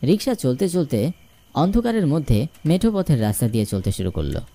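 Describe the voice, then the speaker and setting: A man narrating a scripted story in the first person, expressive and dramatic. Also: fairly high pitch, fairly quick. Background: music.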